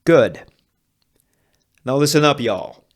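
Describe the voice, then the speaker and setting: A man playing a domineering, slow-talking Western sheriff. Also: mid-range voice, animated, voice pushed up. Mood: commanding. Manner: deliberate, domineering. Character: sadistic, commanding Wild West sheriff acting as judge.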